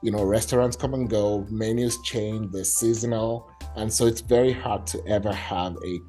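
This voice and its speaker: Nigerian-born founder with a mellow low voice. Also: male voice, fairly even intonation, moderate pace, soft background music. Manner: enthusiastic, explains with many fillers and examples. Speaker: startup founder. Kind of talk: casual pitch in an online meetup.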